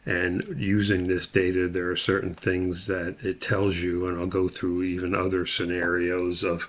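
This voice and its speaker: A man's voice: low-pitched, completely flat delivery, unhurried. A man giving an instructional lecture, explaining things methodically step by step as he talks through slides. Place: voice-over on a slide screen recording.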